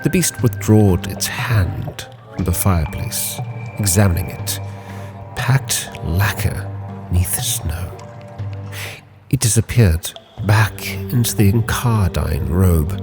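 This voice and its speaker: Low-voiced man solemnly narrating a sea tale. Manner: measured, solemn storytelling with hushed phrase endings. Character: sea captain narrating his log. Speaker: narrator and roleplayer. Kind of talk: dramatic in-character story narration. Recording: music under the voice.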